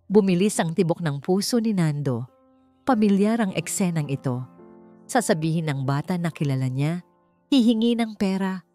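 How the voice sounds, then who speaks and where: Filipino lady doing dramatic audiobook narration, kinda low voice, emotive, music in the back. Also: warm tone. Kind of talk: dramatic scripted story narration. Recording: narration over background music.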